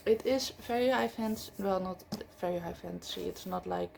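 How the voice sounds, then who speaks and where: Chatty woman with a slightly gravelly voice. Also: lowish voice, fairly quick, background noise. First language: not English. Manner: chatty, frequent fillers and self-corrections. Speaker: book vlogger. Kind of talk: casual book review chat.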